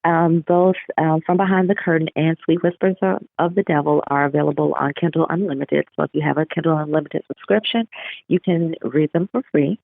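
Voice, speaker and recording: A woman's voice: sits low, steady tone, a little fast, vocal fry, no room sound. A woman guest, an author, answering conversationally in an interview over a narrow remote call line. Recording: muffled, phone-like narrow-band call.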